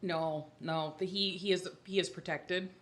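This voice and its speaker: A woman's voice: fairly low pitch, fairly even intonation, a little slow, a bit bright. A woman hosting a casual podcast, explaining game lore in a jokey, sweary, irreverent way. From Scotland. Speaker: podcast host.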